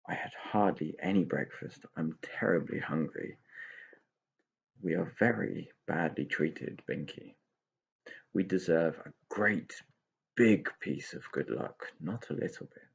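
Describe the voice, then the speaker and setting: A man's voice: low-pitched, lively intonation, slow, vocal fry, husky, highs cut off, voice pushed down, exaggerated voice. A man voicing a grumbling, idle, self-pitying pixie in a children's storybook, in a gloomy, whining way. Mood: lazy, doleful.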